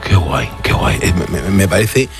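Enthusiastic low-voiced male radio host. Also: expressive, moderate pace, faint music behind. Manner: enthusiastic, repeats short exclamations. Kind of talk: casual radio interview.

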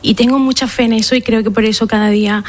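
A woman's voice: on the high side, steady tone. A Colombian woman in her thirties, director of a shelter, answering interview questions earnestly with frequent restarts. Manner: earnest, measured, with repeated restarts.

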